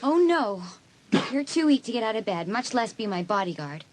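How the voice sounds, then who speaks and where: A woman's voice: fairly high pitch, sing-song, normal speed. An actress playing an anxious but caring teenage girl in a dramatised audiobook. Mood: anxious, pleading.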